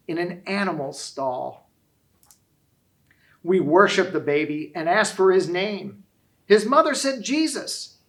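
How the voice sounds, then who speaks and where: A man reading as a sorrowful, kindly old grandfather who tells his tale tenderly in rhyme. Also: high voice. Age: older.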